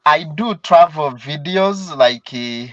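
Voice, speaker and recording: Slow, halting young man with a Ugandan accent. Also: fairly high pitch, expressive, a bit bright, dry recording. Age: young adult. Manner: hesitant, halting, with fillers and laughs. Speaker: caller, student and travel vlogger. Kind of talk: live call-in chat. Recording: dull, dry call audio.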